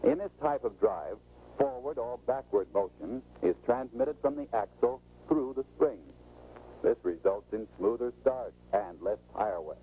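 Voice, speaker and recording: A man's voice: lowish voice, animated, measured pace, phone-quality sound, soft background music. A middle-aged American man giving scripted, instructive, salesmanlike narration in the style of a 1940s training film, on an old film soundtrack. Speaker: automotive expert presenting a sales training film.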